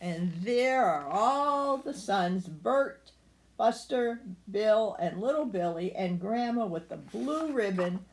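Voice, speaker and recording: A woman's voice: medium pitch, animated, slow pace. An older woman reading a children's story aloud, with asides to her young listeners. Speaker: storybook reader.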